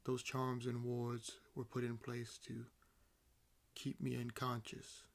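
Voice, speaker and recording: Man playing a slow, wry ancient vampire. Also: mid-range voice, monotone, vocal fry. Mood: explaining calmly. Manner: unhurried, wry, theatrical pauses. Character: ancient awakened male vampire, nonchalant, condescendingly amused. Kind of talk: roleplay audio acting, addressing the listener.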